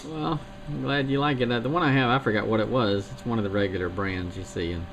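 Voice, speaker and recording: A man chatting easily on amateur radio, talking through gear and antennas in a relaxed, technical way. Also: medium pitch, fast, rough recording. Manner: easygoing, technical talk. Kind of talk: casual radio chat. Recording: hissy shortwave radio signal.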